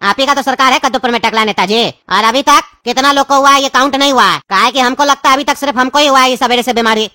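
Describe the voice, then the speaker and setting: A man voicing a chatty, nutty news anchor turned dog in a cartoon mock newscast, with a Bihari Hindi accent and a pitched-up voice. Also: quick pace, few pauses, bright, forceful tone, no echo, clean studio sound, full character voice. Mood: defensive chatty.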